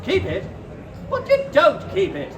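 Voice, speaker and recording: A man's voice: extremely high pitch, huge pitch swings, unhurried, voice pushed up, exaggerated voice. A man voicing a cheerful, earnest young nephew in a live story reading, sincere and pleading. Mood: cheerful, protesting. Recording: echoey crowded fair hall, distant noisy mic.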